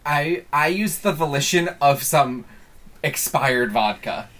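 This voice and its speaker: A man's voice: fairly high pitch, lively intonation, a bit bright. A man co-hosting a podcast, teasingly explaining a game penalty in a casual tone. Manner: teasing, deliberate rule-setting. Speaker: podcast co-host.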